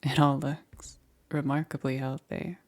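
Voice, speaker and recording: A woman playing a soft-spoken, secretive witch in an intimate ASMR roleplay, soothing and hesitant, often dropping into a whisper. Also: rumbling low, little pitch movement, unhurried. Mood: careful, attentive. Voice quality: breathy, husky, often whispering.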